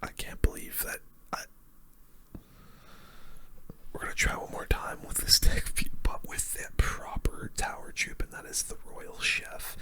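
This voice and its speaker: Whispering ASMR gamer with a bright, breathy hiss. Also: a little slow, long pauses. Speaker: gaming video host. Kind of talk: whispered ASMR gameplay commentary.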